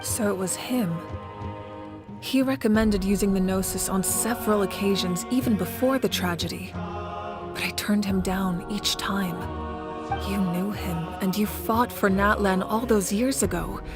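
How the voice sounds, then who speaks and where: A woman's voice: medium pitch, unhurried, over music, close to an everyday voice. A woman playing a composed, magnanimous leader of a nation in a video game, speaking with formal, poised authority. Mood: thoughtful. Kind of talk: video game character acting.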